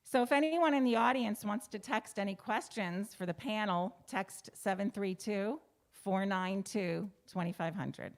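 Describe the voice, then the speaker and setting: A woman moderating a panel, speaking in a lively way between speakers. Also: medium pitch, unhurried. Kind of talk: hosting a panel.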